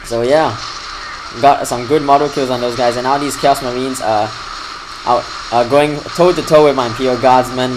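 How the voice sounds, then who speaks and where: A man at a middle pitch talks through a strategy game replay in a relaxed, homemade commentary style. His delivery is mostly even and a little monotone, but it brightens when the action heats up. A steady hiss runs under his voice throughout. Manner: fairly flat delivery that lifts when excited. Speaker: gaming channel host. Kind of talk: casual gameplay commentary.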